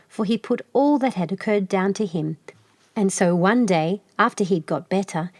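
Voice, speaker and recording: A woman tells a children's tale in a mid-pitched voice. The sound is dry and close, with no room around it. Her delivery is expressive, in the way of storybook narration for little listeners. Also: no echo.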